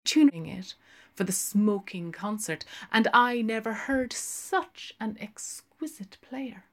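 A woman's voice: mid-range voice, lively intonation, slow, pitched above the performer's own voice. A woman reader voicing a chatty, gushing young barmaid in a literary audiobook.

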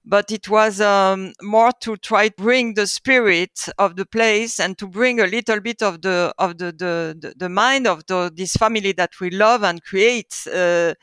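A woman's voice: mid-range voice, fairly bright tone, smooth, no echo. A middle-aged French woman with a French accent telling stories in an interview, searching for words and repeating phrases as she goes. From France. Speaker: guest, publishing house co-founder. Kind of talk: conversational storytelling.

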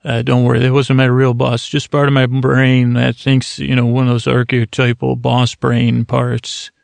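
Rambling gravelly man hosting a sleep podcast. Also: mid-range voice, completely flat delivery, moderate pace, dry recording. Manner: meandering, self-deprecating, full of restarts. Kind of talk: rambling monologue for falling asleep.

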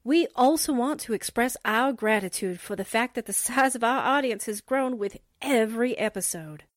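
A woman with a slightly high voice reads prepared lines as a radio drama presenter. The sound is very dry and close, with no room around her. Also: lively intonation, moderate pace, no room sound. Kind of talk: scripted holiday greeting and essay reading.

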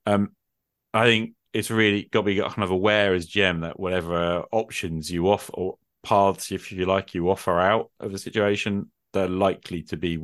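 A man with a very deep voice talks in an unscripted discussion. He explains things reflectively, posing rhetorical questions as he goes. The sound is dry, with little room in it. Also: flat delivery, moderate pace, a bit bright, no room sound. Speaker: game master and host.

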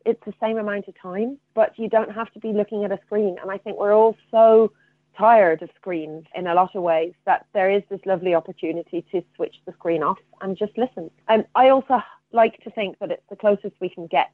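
A woman's voice: mid-range voice, a little fast, dry recording. A woman speaking conversationally as an interview guest over a phone line. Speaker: interview guest, theatre artistic director. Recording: tinny narrow phone line, dry.

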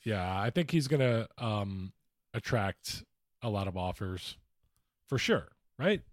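A man's voice: on the low side, lively intonation, takes it slowly, no echo, studio quality. A man co-hosting a podcast in casual sports chat, talking informally with some slang. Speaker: podcast co-host.

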